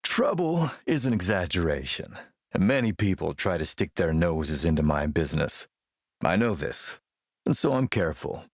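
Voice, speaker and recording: A man playing a shrewd, menacing militia boss and smooth-talking dealmaker in a video game, gravelly, measured, self-assured and wry. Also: low voice, sing-song, no echo, studio quality, heavily acted. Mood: cool, controlled. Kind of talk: video game character dialogue.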